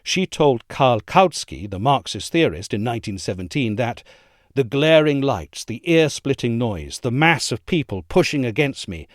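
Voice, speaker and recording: A man reading an essay aloud as a narrator, in a measured, articulate and formal delivery. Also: mid-range voice, very animated, no echo, studio quality.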